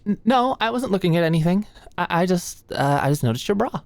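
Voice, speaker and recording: A low-pitched young female voice, close and very dry, a woman acting as a nervous, awkward medical student in an ASMR roleplay. She is attentive but hesitant and easily flustered, tripping over her words. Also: animated, a little fast, darkish tone, no echo. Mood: flustered, embarrassed. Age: young adult. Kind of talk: ASMR roleplay, intimate scripted one-sided dialogue. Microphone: close studio-style mic, dry.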